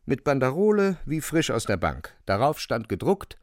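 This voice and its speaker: A man's voice: medium pitch, very animated, moderate pace, a little mellow, no echo, clean studio sound. A man narrating a literary radio drama in a dramatic storytelling style.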